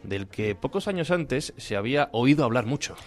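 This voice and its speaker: A man hosting a radio show, reading scripted introductions and welcoming a guest in a measured way. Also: mid-range voice, expressive. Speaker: radio host.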